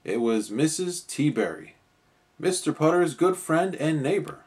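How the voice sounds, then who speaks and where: A man's voice: a little high, expressive, a little slow, darkish tone. A man narrating a children's story expressively as a storyteller.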